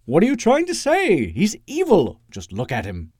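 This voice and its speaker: A man's voice: high voice, huge pitch swings, moderate pace, a little mellow, breathy edge, no echo, clean studio sound, pitched above the performer's own voice, exaggerated voice. A man voicing a grandiose, boastful would-be supervillain in a comic story, pompous and melodramatic. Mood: ranting.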